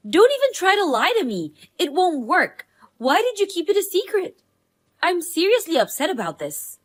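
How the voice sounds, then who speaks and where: A woman's voice: very high voice, huge pitch swings, normal speed, heavily acted. A woman playing a blunt, pushy female friend in a scripted story drama. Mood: indignant, hurt. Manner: blunt, accusing. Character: Allison, pushy, indignant, spoiled but loyal woman friend.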